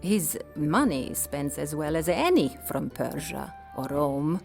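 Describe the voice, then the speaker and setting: An actress playing a gracious, playful Persian empress in an audio drama, with regal, wry, formal phrasing. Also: female voice, sits low, animated, slow pace, vocal fry, darkish tone, full character voice. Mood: amused, helpful. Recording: music under the voice.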